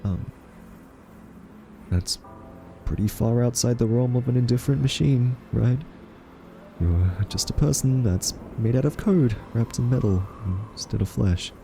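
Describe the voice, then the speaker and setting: A man playing an earnest, quirky scientist in a roleplay audio drama, soft-spoken, hesitant and argumentative as a thoughtful captive. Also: medium pitch, measured pace, vocal fry, soft background music.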